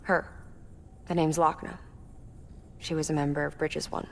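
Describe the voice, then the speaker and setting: An actress playing a calm, matter-of-fact female engineer in a video game, explaining things conversationally. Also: sits low, moderate pace, creaky, noisy background. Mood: matter-of-fact, concerned. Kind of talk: video game cutscene dialogue.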